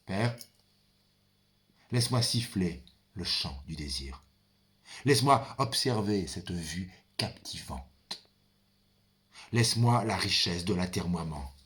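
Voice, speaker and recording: A man performing a theatrical monologue as a brooding son, the ferryman of the dead, addressing his father in a deliberate, dramatic, incantatory manner. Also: deep voice, lively intonation, a bit bright, heavily acted. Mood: solemn, yearning. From France. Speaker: stage performer.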